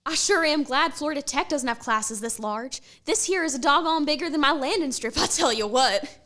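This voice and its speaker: A woman's voice: sits high, lively intonation, normal speed, pushed and bright. A woman playing a folksy young student pilot. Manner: folksy, exclaiming.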